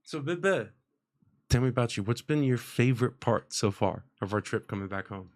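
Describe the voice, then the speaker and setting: A man's voice: medium pitch, animated, normal speed. A young American man hosting a podcast in a radio studio, rambling casually with lots of fillers and an upbeat tone. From United States. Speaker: podcast host. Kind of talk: casual chat. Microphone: studio microphone with headphones.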